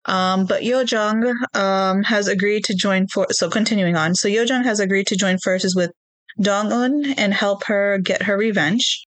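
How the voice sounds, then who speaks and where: A woman's voice: mid-range voice, unhurried, no echo. Chatty woman recapping a show, dry sound. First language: not Korean. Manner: recaps plot in a chatty, hedging way with many ums. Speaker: podcast co-host. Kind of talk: casual chat recapping a TV show.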